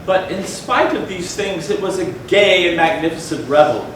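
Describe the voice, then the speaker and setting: A man performing a dramatic stage reading of a gothic tale, with measured, theatrical phrasing and deliberate pauses. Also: high voice. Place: large echoey room, live stage performance. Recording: echoey hall from far off, noisy.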